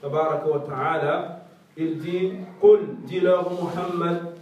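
A man's voice: a little high, fairly even intonation, slow pace, a little mellow, very echoey, recorded from a distance, low-quality recording. A man whose first language is not French, giving a religious lesson that relays a scholar's answers, and often stopping to search for the right French words. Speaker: religious teacher.

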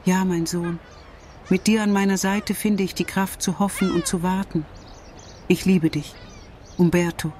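Woman playing a loving, lowish-voiced mother. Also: fairly even intonation, a little slow, long pauses, darkish tone, a little hiss or hum, close to an everyday voice. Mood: loving, earnest. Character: loving, hopeful mother speaking to her son. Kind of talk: sentimental radio drama acting.

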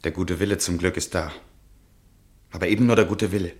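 An actor playing an anxious, resigned nobleman and husband, speaking in earnest, measured, formal German radio drama style. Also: low voice, steady tone, close to an everyday voice. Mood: pensive. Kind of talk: German radio play acting.

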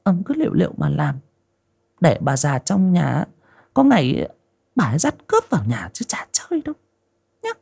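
A man plays a sly young wife, her voice raised high and lively. She murmurs in husky, conspiratorial whispers in a spoken storybook. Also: normal speed, pauses often, exaggerated voice. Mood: sulky. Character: scheming young wife, sly and sharp-tongued.

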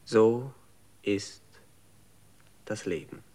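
A man playing a calm, resigned figure who answers in a detached, matter-of-fact way. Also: lowish voice, drawn-out words. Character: resigned, calm man accepting dull life. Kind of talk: radio drama acting.

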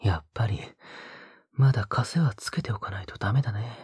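A woman playing a soft-spoken, possessive young man in an intimate audio drama, coaxing and falsely sweet, with a breathy voice that often drops to a whisper. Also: a bass voice, brisk, no room sound, clean studio sound, heavily acted. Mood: mildly scolding.

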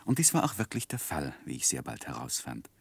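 An actor playing a gentleman who tenderly recalls his infatuated youth, narrating a 1960s German radio drama in a wistful, literary manner. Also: a bass voice, huge pitch swings, a little fast, gravelly, breathy edge, no room sound, exaggerated voice. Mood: wry, reflective. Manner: wistful, ornate literary phrasing.

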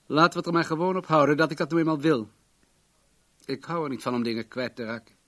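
An actor playing a wealthy, controlling businessman in a radio play, formal, guarded and self-assured in a measured way. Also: a little high, a bit bright, lightly acted. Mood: guarded, insistent.

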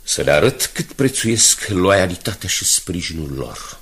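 An actor playing an ailing, grieving older newspaper owner in a radio drama, speaking slowly and solemnly in a weakened, gravelly, husky voice. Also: male voice, sits low, big pitch swings, a bit bright, exaggerated voice. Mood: solemn, moved. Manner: slow, halting, solemn delivery. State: weakened, weary. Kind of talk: 1980s Romanian radio drama acting.

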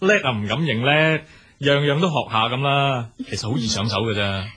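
An easygoing modern husband in a Cantonese radio drama. His voice is mid-pitched and very raspy, and he talks in a modest, agreeable way as a devoted father. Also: brisk, bright, forceful tone. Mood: earnest.

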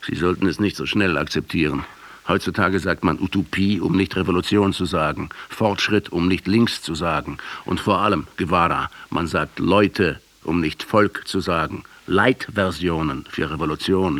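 An actor playing a bitter, sardonic intellectual in a German radio drama, speaking in an eloquent, rhetorical way with a husky voice. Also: male voice, low voice, normal speed, fairly bright tone. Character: Navarro, bitter Argentine intellectual man confronting a dead revolutionary.

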